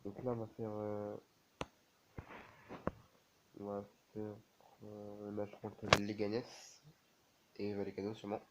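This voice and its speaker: Male gamer with a cold, low monotone, casual halting play-by-play. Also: very noisy. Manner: halting, many fillers and restarts. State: has a cold, sneezing. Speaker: gaming video creator. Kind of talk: casual game commentary.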